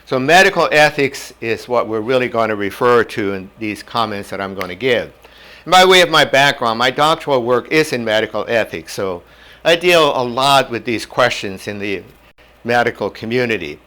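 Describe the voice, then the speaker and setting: An older man from North Dakota whose first language was German, giving a measured lecture on medical ethics in a classroom on a lapel mic. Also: mid-range voice, animated, pushed and bright. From North Dakota, USA. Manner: measured, plain-spoken teaching with homely examples. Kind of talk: whiteboard lecture. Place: indoor classroom in front of a whiteboard.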